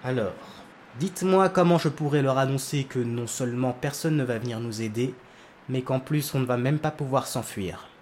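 A man's voice: mid-range voice, normal speed, darkish tone, slight background noise, close to an everyday voice. A man acting a weary, desperate leader of a doomed planet in a French sci-fi audio drama, exasperated and sighing. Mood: bitter, defeated.

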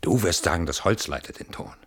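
An anxious, obsessive man in a radio drama. His voice is deep and rough around the edges, and he phrases things with brooding, sardonic deliberation. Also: a little fast. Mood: hushed, fascinated dread. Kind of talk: German radio play acting.

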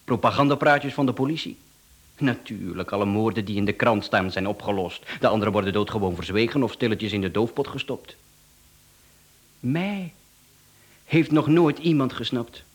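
A man's voice: lowish voice, moderate pace, natural voice. An actor playing a cocky, businesslike hitman in a radio play, formal and easily offended. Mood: indignant, boastful. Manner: formal, smooth-talking salesman patter, indignant.

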